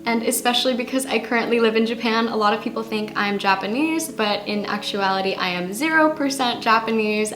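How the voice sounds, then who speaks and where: High-voiced young woman vlogger, roomy sound. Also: a bit bright. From born in Africa, raised partly in Australia and the United States. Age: 20s. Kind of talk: personal storytelling to camera, answering questions. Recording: roomy echo with music behind. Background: background music.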